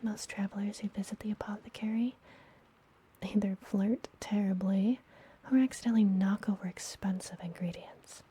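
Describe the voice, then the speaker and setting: A woman playing a friendly, mysterious, teasing witch healer in an ASMR fantasy roleplay, speaking gently and soothingly in a soft, breathy whisper. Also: mid-range voice, steady tone. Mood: caring, gentle. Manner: slow, gentle, soothing, deliberate.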